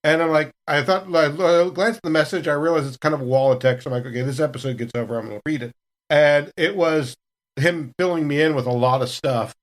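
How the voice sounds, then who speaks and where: Rambling, slightly high-voiced man chatting. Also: fairly quick. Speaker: podcast cast member. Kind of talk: casual retrospective chat.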